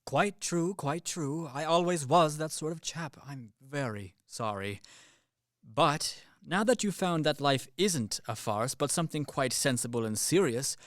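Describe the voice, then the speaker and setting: A man's voice: on the high side, lively intonation, a little slow, dry recording, studio quality. A man acting a dryly polite, pragmatic career soldier in a radio play, matter-of-fact and placating.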